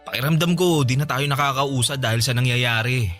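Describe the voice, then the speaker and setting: A man's voice: mid-range voice, a little fast, fairly bright tone, soft background music, close to an everyday voice. A man playing a weary, apologetic young husband in a Tagalog radio drama, speaking in a placating, subdued way. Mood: weary, frustrated.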